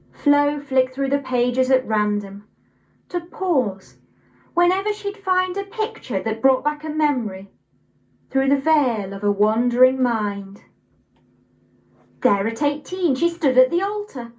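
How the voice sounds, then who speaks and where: A young woman of eighteen, reading scripted poetry in a measured, deliberate way. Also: sits high, rough recording. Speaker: poetry reader.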